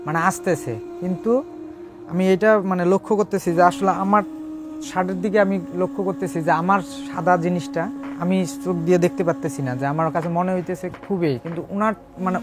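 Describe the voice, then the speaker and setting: A man's voice: sits high, steady tone, brisk. A man with a Bangladeshi accent recounting his own eerie experience in colloquial, step-by-step casual speech. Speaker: guest storyteller on a ghost-story show. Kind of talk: informal first-person ghost-story telling. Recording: voice over prominent background music. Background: music.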